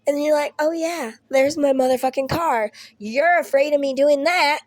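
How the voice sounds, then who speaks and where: A woman's voice: sits high, normal speed, a little mellow, dry recording. A young woman, ill and losing her voice, chatting casually to camera at home, rambling and swearing with laughing asides. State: ill with Covid. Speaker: vlogger.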